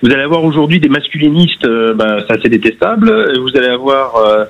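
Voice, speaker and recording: Male caller, 50s, hesitant and halting, muffled lo-fi phone sound. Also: medium pitch, expressive. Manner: hesitant, many restarts and fillers. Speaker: radio caller. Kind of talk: call-in chat. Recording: dull phone-line sound.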